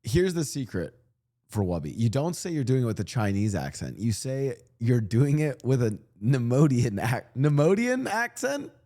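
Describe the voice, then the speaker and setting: A man's voice: medium pitch, sing-song, moderate pace, mellow. A man streaming live, talking casually to his chat in a rambling, joking way with many restarts. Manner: rambling, laughing, joking asides. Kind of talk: casual livestream commentary.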